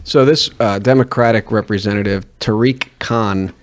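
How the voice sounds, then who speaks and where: A man hosting a podcast, introducing guests in a relaxed, chatty way. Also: lowish voice, moderate pace. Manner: relaxed, uses fillers and restarts. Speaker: podcast host. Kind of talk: casual talk show hosting.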